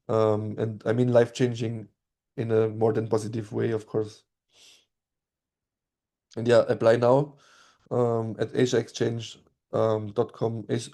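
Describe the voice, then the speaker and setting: A man whose first language is German, presenting an online study-abroad info session in a steady voice with frequent ums. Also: medium pitch. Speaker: study abroad program representative.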